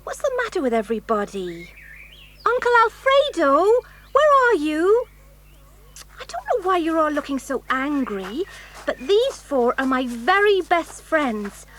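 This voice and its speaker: An excitable traveller girl in a children's audio drama. The voice is high and swings widely with eager exclamations. There is faint noise on the recording. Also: a little slow, full character voice. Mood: puzzled, indignant.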